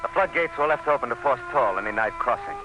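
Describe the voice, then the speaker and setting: An actor playing an earnest, anxious commissioner with a solemn, dramatic delivery, as in an old-time radio drama. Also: male voice, mid-range voice, wildly swooping pitch, quick pace, highs cut off, music in the background. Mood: tense narration. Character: earnest, anxious commissioner recounting a desperate siege. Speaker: narrator and character.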